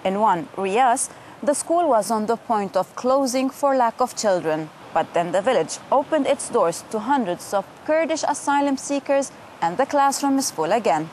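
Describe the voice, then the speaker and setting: A woman presenting a television news magazine in a fairly high voice. She reads from her script in a clear, measured way. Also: lively intonation, darkish tone, a little hiss or hum, low-quality recording.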